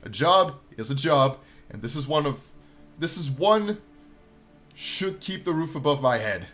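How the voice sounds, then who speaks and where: A man in his twenties with a fairly high voice hosts a game playthrough. His intonation swings widely as he reads story text theatrically and drops in casual asides. Light music plays behind him. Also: expressive, a little slow, fairly bright tone. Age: 20s. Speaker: gaming channel host.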